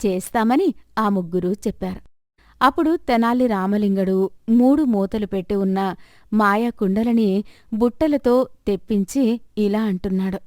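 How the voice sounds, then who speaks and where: A woman's voice: mid-range voice, a little slow, long pauses, a little mellow, dry recording, studio quality. A woman storyteller reading a scripted moral tale as narration.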